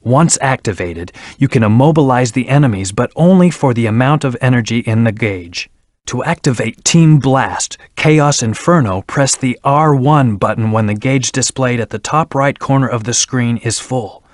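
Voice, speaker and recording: A man's voice: mid-range voice, moderate pace, no echo, studio quality, lightly acted. A man voicing a brooding, stern hedgehog antihero in a video game, speaking in a terse, serious, controlled way. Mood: cool, instructive. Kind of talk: video game voice acting, scripted hints and battle lines.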